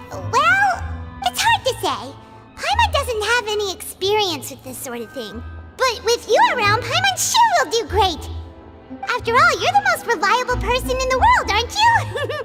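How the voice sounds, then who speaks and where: A woman voicing a small, excitable female fairy companion in a video game, chattering about herself in the third person. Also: very high-pitched, a little slow, loud music behind the voice, full character voice. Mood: cheerful.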